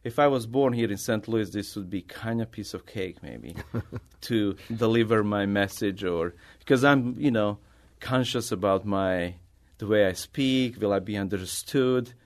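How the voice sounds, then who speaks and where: A middle-aged man from Bosnia with a Bosnian accent, a native Bosnian speaker answering interview questions as an artist in halting, non-native English. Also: on the low side. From Bosnia, former Yugoslavia. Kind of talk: conversational interview answers, personal storytelling.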